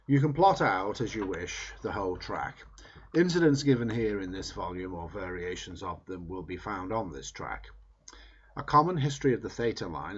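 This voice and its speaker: A man reading a book aloud in measured, emphatic phrases that trail off at the ends of sentences. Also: sits low, slightly rough, dull audio. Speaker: reader.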